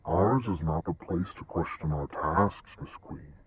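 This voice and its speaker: A man playing a courteous but menacing villain in an audio drama, speaking in a formal, measured, condescending way. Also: low voice, muffled recording.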